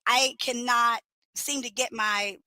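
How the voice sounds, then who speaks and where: A woman hosting a podcast, hoarse and chatting in a rambling, casual way. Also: a little high, steady tone, takes it slowly, pushed and bright, no echo. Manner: chatty, rambling, lots of restarts and fillers. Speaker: podcast host.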